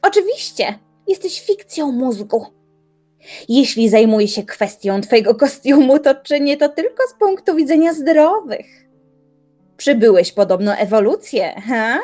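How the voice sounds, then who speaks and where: An actress playing a proud young woman philosopher in an audio drama, trading sharp, sarcastic retorts. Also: sits high, expressive, a little slow, soft background music. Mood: scornful. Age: young adult. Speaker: voice actor.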